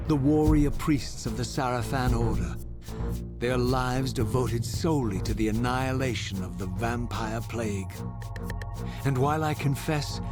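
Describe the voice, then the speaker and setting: An actor playing a vengeful undead vampire wraith in a video game, narrating in a grave, formal, theatrical way with ornate diction. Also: male voice, mid-range voice, natural voice. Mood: bitter, disdainful. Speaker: narrating protagonist. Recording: music under the voice. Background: loud music.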